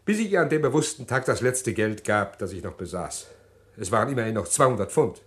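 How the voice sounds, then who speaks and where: A condemned man played by a male actor in a German radio thriller. He is a cold-blooded schemer, deliberate in the telling but desperate underneath, and his voice rises and falls widely as agitation takes over. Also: fairly low pitch, animated, close to an everyday voice. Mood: bitter, matter-of-fact. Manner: detailed, deliberate recounting breaking into agitated pleading. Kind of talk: German radio crime drama acting.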